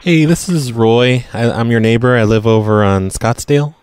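A man's voice: mid-range voice, normal speed, darkish tone, clean tone. A man making a casual prank phone call, talking in a nonchalant, insistent, innocent-sounding way. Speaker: prank caller.

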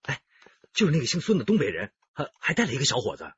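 A very husky, animated husband. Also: male voice, mid-range voice, fast, dry recording, highs cut off, heavily acted. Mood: hesitant, wary. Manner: theatrical teasing, hesitant when worried. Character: cautious, joking husband, a fussy homeowner. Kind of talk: radio drama acting.

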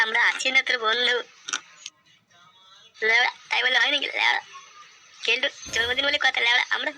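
A man's voice: extremely high pitch, creaky, sharp tone, exaggerated voice, low-quality recording. A man voicing a rowdy, foul-mouthed, bossy young pal in comic cartoon dialogue, full of slangy bluster. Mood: bossy, hyped.

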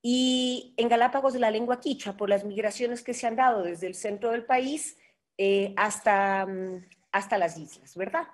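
A middle-aged woman with an Ecuadorian Andean accent, giving an online linguistics lecture in a measured, explanatory way with fillers and tag questions, recorded on a webcam. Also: medium pitch. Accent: Ecuadorian Andean Spanish. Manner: measured, explanatory, with frequent fillers and rhetorical tags. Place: home office with bookshelves. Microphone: webcam on a video call.

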